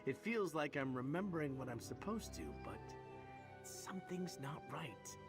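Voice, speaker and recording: An actor playing a wry, roguish heroic knight in a fantasy game, with a conversational delivery. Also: male voice, fairly high pitch, expressive, normal speed, over music, lightly acted. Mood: wry, troubled. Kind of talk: scripted game dialogue.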